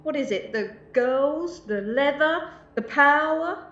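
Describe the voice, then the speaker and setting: Dull, echoey audio carries a high-pitched female alien hitchhiker, played by a woman. She is flippant and quick-witted, talking in glib, persuasive bursts, in the manner of a comic radio play. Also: lively intonation, a bit bright, heavily acted. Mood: coaxing. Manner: glib, persuasive, broken-up patter. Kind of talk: comic amateur radio drama acting. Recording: dull, echoey home recording.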